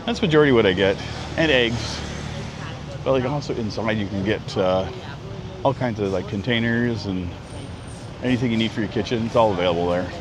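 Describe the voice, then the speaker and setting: A man's voice: lowish voice, fairly quick, long pauses, a crowd in the background. Rambling man in his fifties outdoors. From Canada. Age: 50s. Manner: rambling, chatty, many ums and restarts. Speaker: travel vlogger. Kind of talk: casual live-stream vlog. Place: outdoors at a busy market street. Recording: street traffic noise outdoors. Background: traffic and street noise.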